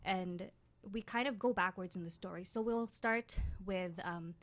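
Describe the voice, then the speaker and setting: A woman's voice: medium pitch, measured pace. A woman talking casually as a guest in an interview. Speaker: guest, radio drama writer and producer.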